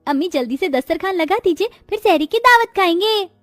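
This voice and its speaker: An excitable, eager small child voiced by a woman pipes up in a very high voice with a slightly whiny edge. It is a dry recording with gentle music behind. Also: brisk, no room sound. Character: eager, excitable little child of poor family.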